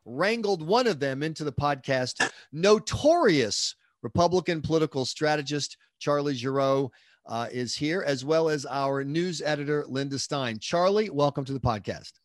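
A man's voice: fairly high pitch, animated, no echo. Podcast host, a man from the American South, chatty and joking, recorded with a dry, close sound. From southern United States. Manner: chatty, joking, quick asides. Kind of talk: conversational interview.

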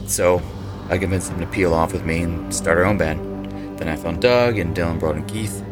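Man playing young male band leader, low voice, intense, cocky, horror audio drama, music behind. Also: moderate pace, lightly acted. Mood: reassuring. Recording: voice over prominent background music.

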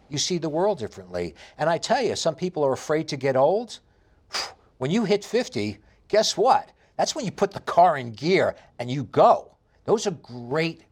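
A man in his fifties with a heavy New York accent, telling his life story in an interview with animated emphasis. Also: a little high. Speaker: interview guest. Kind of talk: candid conversational interview.

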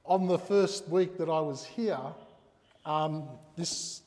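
A man's voice: fairly high pitch, takes it slowly, dark tone. Preacher, male, native Croatian speaker; earnest, emphatic delivery with rhetorical questions, in a reverberant hall. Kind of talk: sermon.

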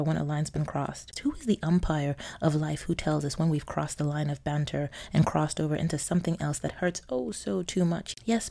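A woman from Nigeria hosting a podcast, delivering a scripted storytelling monologue with a wry, sardonic, theatrical manner and frequent soft laughter. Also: low-pitched, creaky. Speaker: podcast host.

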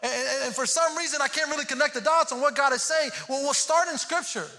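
A man's voice: very high-pitched, fast talker, sharp tone. A man from Houston preaching a sermon, earnest and prone to repeating words as he starts a thought. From Houston, Texas. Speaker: pastor. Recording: long hall reverb.

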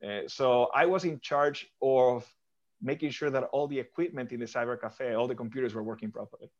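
A man's voice: mid-range voice, steady tone, fairly quick. Thoughtful man, muffled lo-fi audio. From Argentina. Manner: thoughtful, with frequent restarts and repeated words. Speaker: podcast guest. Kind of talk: interview answers.

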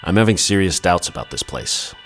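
An actor playing a skeptical, protective brother in an audio play, dry and wry or desperate and stammering. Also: male voice, low voice, lively intonation, a little fast, a bit bright, faint music behind. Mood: wry, doubtful. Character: skeptical protective brother, growing frantic with fear.

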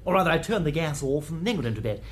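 Male actor as flippant posh English chap for radio drama, high, lively voice, clipped slangy delivery. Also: rapid-fire, slight background noise, lightly acted. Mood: breezy, flustered. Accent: upper-class English. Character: affable, flippant upper-class English silly-ass gentleman. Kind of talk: British radio drama acting.

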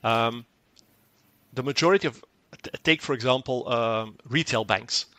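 A man's voice: mid-range voice, a little slow, fairly bright tone, no echo. A man whose first language is Dutch, explaining a product in an interview and often repeating words as he goes. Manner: fluent but repeats words and restarts, acts out short quoted exchanges. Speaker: tech company guest.

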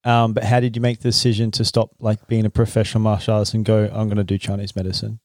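A man hosting a relaxed, informal interview and chatting with his guest. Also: lowish voice, fast, no room sound, clean studio sound. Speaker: interviewer, host. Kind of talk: casual chat.

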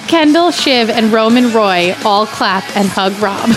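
A woman's voice: on the high side, animated, measured pace, music in the background. A woman narrating screenplay stage directions at an informal comedy table read. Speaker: guest reader of stage directions.

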